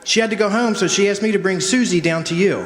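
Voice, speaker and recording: A man playing a friendly, apologetic gentleman in a radio drama, polite and hesitant. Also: high voice, fast, slight background noise, natural voice. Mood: sheepish. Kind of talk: live stage radio drama acting. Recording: echoey hall, faint audience.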